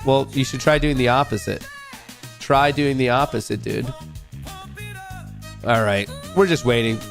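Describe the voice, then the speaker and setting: A man hosting a casual livestream, slightly nasal as he gets over a cold, joking loosely and talking straight to his chat. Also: mid-range voice. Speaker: livestream host.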